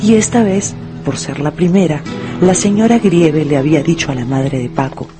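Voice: mid-range voice, moderate pace, over music. A narrator delivering a scripted, dramatic story for a radio play.

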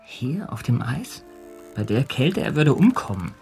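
An actor playing a thoughtful, quietly authoritative ship's captain in a radio drama, speaking in a measured way. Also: male voice, mid-range voice, very animated, gravelly, soft background music. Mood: calm, firm. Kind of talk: German radio drama acting.

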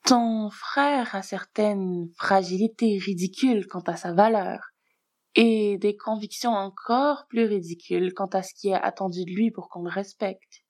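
A woman reading the part of a sly, coy, politely sardonic scheming man in a story, measured and dryly teasing. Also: medium pitch, darkish tone, natural voice. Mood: dryly sincere.

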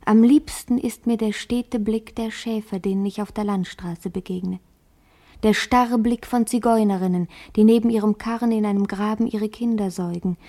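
An actress playing a dreamy, solitary young country woman in a radio play, in a hushed, reflective and lyrical monologue. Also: medium pitch.

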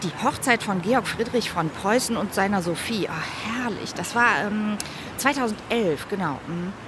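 An actress playing a gushing devotee of royalty, chatting hesitantly and savouring every detail in a naturalistic radio drama. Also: female voice, mid-range voice, animated, moderate pace, lightly acted. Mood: dreamy enthusiasm. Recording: loud outdoor urban traffic noise.

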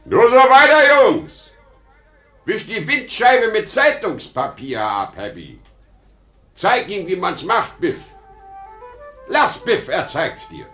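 A man's voice: high voice, sing-song, slow, pauses often, fairly bright tone, faint music behind, exaggerated voice. An actor playing a boastful, insecure aging travelling salesman in a 1950s German radio drama, talkative and exclamatory. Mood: proud, fatherly. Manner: talkative, exclamatory, swinging from bragging to self-doubt.

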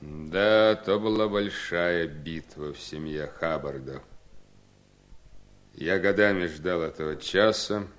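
A weary, ailing gentleman in an old radio drama. His voice is low, and he speaks tersely with bitterness. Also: slow pace. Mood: bitter satisfaction. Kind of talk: 1970s radio drama acting.

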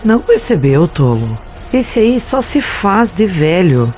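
Woman acting seductive, malicious forest witch, dark-voiced. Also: lowish voice, sing-song, takes it slowly, faint music behind. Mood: angry, menacing. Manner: taunting, commanding, theatrical. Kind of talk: audiodrama acting.

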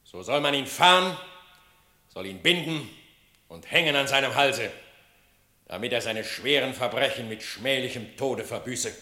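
An actor in a radio play voicing a stern animal king who speaks in solemn, measured proclamations. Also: medium pitch, animated, bright, forceful tone. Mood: stern proclaiming. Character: stern male animal king pronouncing judgement, weighing politics. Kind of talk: classic German radio play acting in verse. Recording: echoing, roomy sound.

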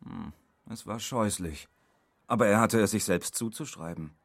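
An actor playing a cold-blooded, smug villain in a German radio drama, mockingly polite and menacing. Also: male voice, fairly low pitch, moderate pace, dry recording. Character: smug, cold-blooded male villain holding men at gunpoint.

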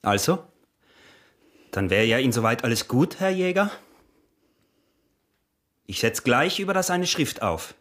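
An actor playing a calculating, domineering peasant smuggler and husband in a radio drama, speaking with an Austrian rural accent. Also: male voice, mid-range voice, very animated, measured pace, long pauses, fairly bright tone. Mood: sly satisfaction.

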